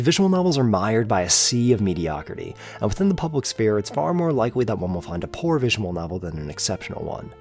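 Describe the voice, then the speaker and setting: A man's voice: on the low side, darkish tone. A man reading a scripted, analytical video essay narration in a formal, articulate way with emphatic openings to his phrases.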